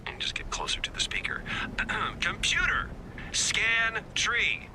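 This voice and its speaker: A wisecracking field agent with a swooping delivery. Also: male voice, high-pitched, big pitch swings, slow, in a whisper, heavily acted, low-quality recording. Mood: wry. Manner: casual, wisecracking. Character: wry male field agent leading the mission. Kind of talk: video game voice acting. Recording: game audio with background noise.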